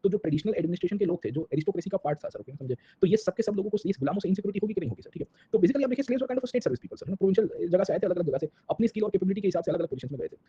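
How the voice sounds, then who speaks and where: A man with an Indian accent and a husky, rough-edged voice gives an informal classroom lecture as a history teacher, mixing Hindi with English and often checking in with short tags. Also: sits high, lively intonation, very fast, hardly any pauses, warm tone, a little hiss or hum. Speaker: history lecturer for exam students. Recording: dull, lo-fi lecture audio.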